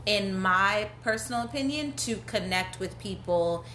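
A woman's voice: medium pitch, lively intonation, a little slow, pushed and bright. A woman in her thirties, a podcast guest, sharing her dating views candidly and thinking aloud. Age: 30s. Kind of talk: casual conversation. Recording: echoey room with faint noise.

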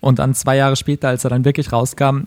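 Fast-talking, slightly hoarse man. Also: mid-range voice, level tone, darkish tone, no echo. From Germany. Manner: fast, chatty, laughs often. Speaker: podcast host, musician. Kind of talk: casual interview chat.

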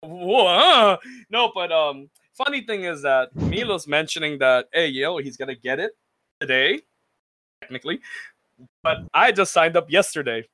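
A young man co-hosting a casual podcast, animated and swearing, acting out quick little scenes as he talks. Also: on the high side, dry recording. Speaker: podcast co-host.

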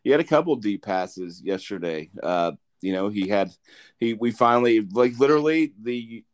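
A man's voice: fairly low pitch, animated, moderate pace. A man hosting a casual sports podcast, reading off game stats with frequent fillers and restarts. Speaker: podcast host.

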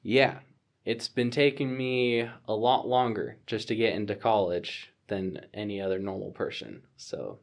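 A man's voice: lowish voice, expressive, unhurried, creaky. A man in his twenties talking casually about his plans, rambling with many fillers and restarts. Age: 20s. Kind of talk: casual conversation.

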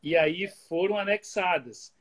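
A man's voice: high voice, unhurried. A man from Bahia whose accent has picked up a Pernambuco tone, explaining at length in a casual conversation, heard over a video call. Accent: Bahian Portuguese mixed with Pernambuco. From Bahia, Brazil. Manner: explanatory, thinks aloud with restarts. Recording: video call audio with lag.

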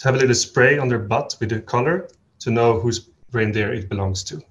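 A man from Sweden, a podcast guest in casual chat, telling stories in a relaxed way with many fillers. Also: medium pitch, brisk, muffled recording.

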